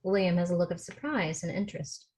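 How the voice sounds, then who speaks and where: A woman's voice: lowish voice, expressive, fast talker, darkish tone. A woman narrator reading stage directions from a film script at a table read. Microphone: video call.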